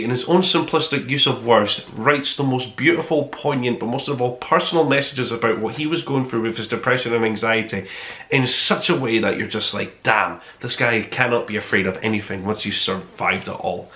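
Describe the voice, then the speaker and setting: A man's voice: medium pitch, sharp tone. A man hosting a casual book review show, speaking in a gushing, emphatic and enthusiastic way. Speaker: host of a book review podcast. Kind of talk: casual review to camera, top five countdown. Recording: roomy, echoey indoor sound.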